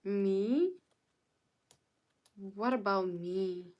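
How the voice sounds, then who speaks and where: A woman's voice: medium pitch, extremely slow, darkish tone, muffled recording. A young woman from Canada hosting a casual live stream, greeting viewers by name and answering their chat comments. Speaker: livestreamer.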